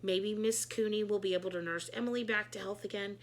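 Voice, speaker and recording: A woman reading a children's book aloud as storyteller, in an expressive narrating style. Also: medium pitch, a little fast.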